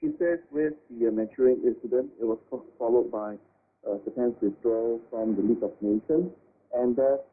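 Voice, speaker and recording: A man giving measured political analysis as an expert guest, speaking formally and carefully with halting hesitations. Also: mid-range voice, husky. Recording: tinny narrow-band shortwave radio.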